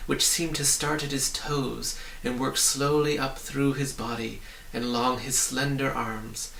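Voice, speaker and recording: A man's voice: mid-range voice, creaky, pushed and bright. A man reading a children's story aloud as scripted audiobook narration. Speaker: audiobook reader. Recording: roomy, distant, faint hiss.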